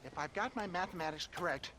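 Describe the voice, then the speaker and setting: A man's voice: sits high, fast, bright, forceful tone, a little hiss or hum. A man playing an elderly, wheedling snake-oil salesman in a video game, flustered, florid and theatrical. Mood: sly, wheedling. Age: older. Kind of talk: video game cutscene acting.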